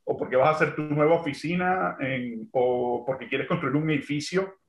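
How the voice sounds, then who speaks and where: A man from Venezuela, a construction entrepreneur speaking as an interview guest. He speaks thoughtfully and fills pauses with "este" and "digamos, ¿no?". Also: on the high side. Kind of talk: remote interview. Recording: roomy, dull lo-fi sound.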